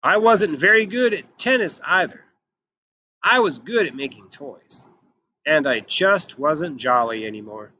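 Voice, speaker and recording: Animated, bright-voiced man reading to children. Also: a little high, unhurried, a little hiss or hum, rough recording. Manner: animated, emphatic delivery. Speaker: children's storybook reader. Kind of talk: expressive read-aloud of a picture book for children.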